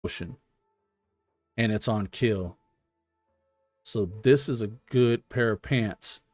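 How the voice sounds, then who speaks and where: A man with a lowish voice talks through a game on a casual stream. He speaks slowly, working out his thoughts aloud with hesitant explanations. The sound is close and dry. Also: dry recording, faint music behind. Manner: thinking out loud, explanatory, hesitant. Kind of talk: casual gaming stream commentary.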